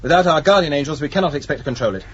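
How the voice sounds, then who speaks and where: An actor playing a dutiful, cautious young spaceship commander in a radio drama, firm, clipped and authoritative. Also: male voice, fairly high pitch, lively intonation, rapid-fire, fairly bright tone, a little hiss or hum. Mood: firm, anxious. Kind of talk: 1980s radio drama acting. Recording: dull old radio recording.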